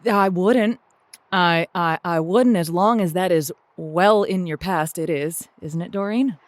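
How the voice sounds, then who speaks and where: A woman plays a supportive, frank agony aunt hosting a comedy call-in. Her voice is on the low side and recorded very dry. She feels her way through earnest advice with folksy turns of phrase. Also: unhurried, no echo, close to an everyday voice. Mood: sympathetic. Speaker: advice show host. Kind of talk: improvised comedy advice chat.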